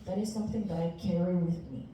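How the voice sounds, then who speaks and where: A woman in her twenties from Ecuador, a native Spanish speaker, giving an earnest, deliberate prepared presentation as a university student. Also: lowish voice, fairly even intonation, dark tone. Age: 20s. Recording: echoey room, distant mic, faint noise.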